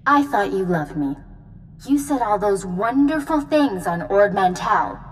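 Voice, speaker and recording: An actress playing a bitter, accusing jilted fiancée in a sci-fi video game, with indignant, dramatic delivery. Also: female voice, mid-range voice, expressive, unhurried, a little hiss or hum, close to an everyday voice. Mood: hurt, furious. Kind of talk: video game dialogue acting.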